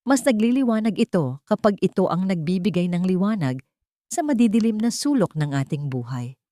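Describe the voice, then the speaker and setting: A woman narrator voicing a gentle, affectionate young wife in a romance story, tender and sincere. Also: mid-range voice, sing-song, a little fast, dry recording, clean studio sound, close to an everyday voice. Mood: sincere, moved.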